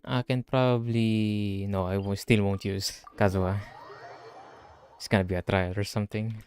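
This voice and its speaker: A man's voice: lowish voice, fairly even intonation, normal speed, darkish tone, a little hiss or hum. A man with a Filipino accent giving casual, slangy commentary as a livestreamer playing a game. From Philippines. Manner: mixes in Tagalog. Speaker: VTuber game streamer.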